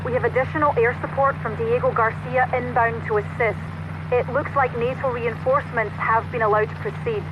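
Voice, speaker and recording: An actress playing a dutiful, cautious mission-control operator in a video game, formal and informative, in a noisy recording. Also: female voice, a little high, fairly even intonation, a little fast, muffled recording, lightly acted. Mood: businesslike. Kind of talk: dramatic video game cutscene acting. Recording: clearly noisy, vehicle-like hum.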